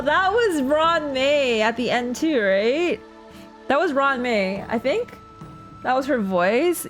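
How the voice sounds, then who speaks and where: A woman with a high voice reacts to a game story as a streamer. She is slangy and exclamatory, talking casually at an unhurried pace over faint music. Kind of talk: casual excited reaction commentary.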